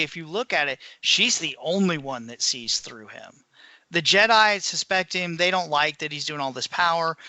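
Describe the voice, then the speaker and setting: Higher male voice, extremely bright and forward, dry close sound, casual opinionated podcast co-host. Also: dry recording. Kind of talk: casual discussion of books.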